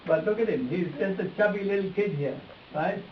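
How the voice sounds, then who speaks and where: Echoey, higher-pitched man teaching a live demonstration. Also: a little fast. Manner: hands-on, repeats himself, addresses volunteers directly. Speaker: face reading teacher. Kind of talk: live demonstration, explaining to volunteers. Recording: echoey, distant mic, dull sound with hiss.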